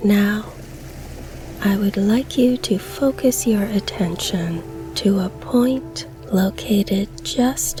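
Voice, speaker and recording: A woman leading a scripted guided meditation in a soft, breathy, soothing delivery with long pauses. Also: mid-range voice. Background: calm background music.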